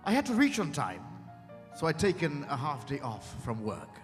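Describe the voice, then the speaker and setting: A man playing a genteel narrator telling his own story in a dramatized reading, measured and savoring each detail. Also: a little high, wildly swooping pitch. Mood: measured, theatrical. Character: genteel man recounting a tense visit. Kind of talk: dramatized first-person storytelling. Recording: hall reverb with clear music behind.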